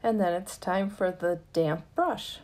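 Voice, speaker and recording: A woman with a slightly low, soft-edged and mellow voice hosts a craft tutorial. She talks at a slow, unhurried pace and explains each step gently in casual, everyday narration.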